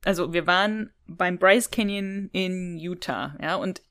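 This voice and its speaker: Lowish-voiced woman chatting on a podcast. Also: measured pace, a bit bright. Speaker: podcast co-host. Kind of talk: casual podcast chat.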